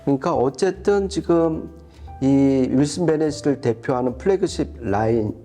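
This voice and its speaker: Guy reviewing hifi gear, soft dark voice, explains it step by step, music behind him. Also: fairly high pitch, expressive, normal speed. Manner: explains step by step, rephrasing as they go. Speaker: audio reviewer and co-host. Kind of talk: explanatory product review talk.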